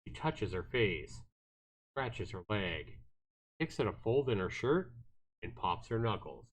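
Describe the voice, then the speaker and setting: A man's voice: lowish voice, big pitch swings, measured pace. A man livestreaming, narrating a visual novel aloud expressively with casual asides, game music playing behind him.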